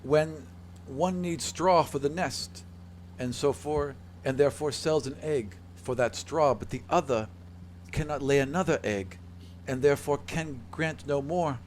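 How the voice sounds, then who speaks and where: A man voicing a formal, solemn female bird-folk envoy who speaks in measured, polite but firm phrases. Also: on the high side, darkish tone, a little hiss or hum, voice pushed up, close to an everyday voice. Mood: grave, reflective.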